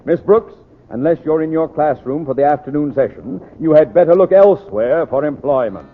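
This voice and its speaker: A man's voice: on the high side, moderate pace, natural voice. Man playing a pompous, stern principal, echoing old radio. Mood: stern, indignant. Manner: pompous, formal. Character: pompous, stern middle-aged male school principal. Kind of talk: 1940s radio comedy acting. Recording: muffled old radio recording, long reverb.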